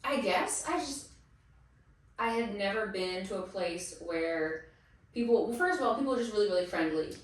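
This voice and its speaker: A woman's voice: medium pitch, moderate pace. A woman in her thirties telling stories as a guest in a casual interview, acting out what others said. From Massachusetts. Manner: chatty storyteller, animated quoting of others. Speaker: guest, teacher. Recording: echoey room, distant mic.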